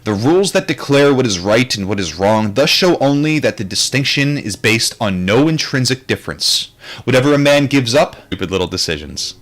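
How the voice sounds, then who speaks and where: A man's voice: medium pitch, animated, moderate pace, fairly bright tone. A man reading a book aloud and adding his own casual, rambling commentary, with wry and reflective asides.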